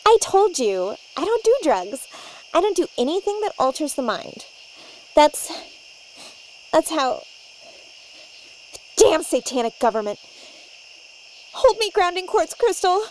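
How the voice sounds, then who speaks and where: A woman's voice: very high voice, big pitch swings, unhurried, long pauses, darkish tone, slight background noise, poor audio. A woman in a comedic audio sketch, talking in a bubbly, cheerfully oblivious way. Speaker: cast member.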